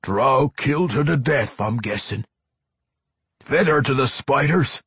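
A man voicing a gruff, tormented dwarf vampire for a fantasy story, growling and exclaiming in rustic old-fashioned speech. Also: medium pitch, lively intonation, measured pace, fairly bright tone, dry recording, voice pushed up, heavily acted. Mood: grumbling.

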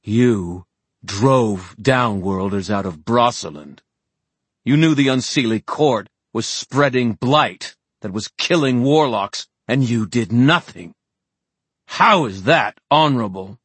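A man performs a young warrior of righteous conviction in a fantasy audiobook. He speaks out emphatically and accusingly, a little raised in pitch, in a dry recording. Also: animated, takes it slowly, fairly bright tone, husky, dry recording, full character voice. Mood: indignant. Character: bold, righteous young male warrior accusing his enemy.

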